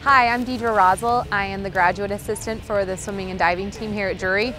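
A young woman speaks outdoors on a campus in an upbeat, inviting way. She sounds like a team assistant promoting home events. Soft music plays behind her. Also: mid-range voice, steady tone, fairly quick. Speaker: graduate assistant coach. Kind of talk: promotional introduction. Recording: light background music.

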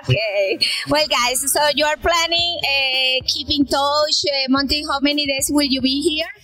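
A middle-aged woman with a Colombian accent interviewing a couple on a town plaza with a handheld mic, speaking halting English with fillers. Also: sits high, pushed and bright, soft background music, low-quality recording. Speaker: matchmaker interviewer. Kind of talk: street interview.

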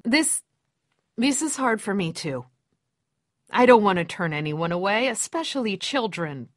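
A woman's voice: on the high side, a bit bright, no echo, clean studio sound. A woman voicing a kindly, apologetic survivor camp gatekeeper in a video game, with a folksy, motherly, hesitant manner. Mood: regretful, hesitant. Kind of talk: dramatic video game dialogue.

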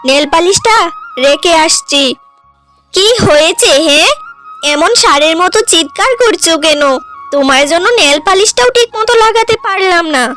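Woman acting a spoiled, high-pitched rich young wife. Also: animated, normal speed, fairly bright tone, soft background music. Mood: haughty, annoyed. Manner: whining, scornful, complaining. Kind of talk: cartoon drama acting.

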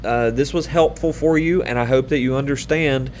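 A man in his thirties giving an online lesson to children, speaking patiently and upbeat, with many fillers and pauses, recorded on a webcam in a small room. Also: medium pitch, a little mellow, slight background noise. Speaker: teacher.

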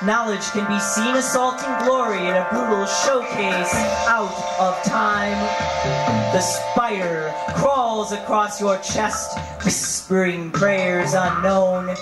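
A woman in her thirties performing spoken-word poetry in a declamatory, deliberate way with dramatic pauses, on a handheld microphone in a hall. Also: medium pitch. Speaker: poet. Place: indoor hall, on stage. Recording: roomy live hall sound, music behind.

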